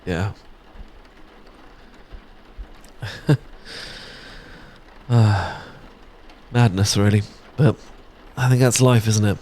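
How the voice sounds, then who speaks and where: A British man playing an affectionate, playful young best friend in an intimate, casual ASMR audio roleplay, chatting and teasing with soft tag questions. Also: lowish voice, takes it slowly, a little hiss or hum. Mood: amused, wistful.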